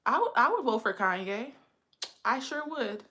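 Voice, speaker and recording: A woman's voice: fairly high pitch, sing-song, normal speed, bright, forceful tone. A woman in her thirties with a lisp, chatting casually with viewers on a livestream. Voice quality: says she has a lisp. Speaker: livestream host.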